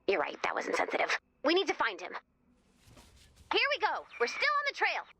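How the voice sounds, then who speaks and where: Bright, high-voiced, eager young game heroine. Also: female voice, a little fast, a little hiss or hum, exaggerated voice. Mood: wry, concerned. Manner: chatty, expressive, conversational. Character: eager, soft-hearted young woman guiding a search. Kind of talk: video game voice acting.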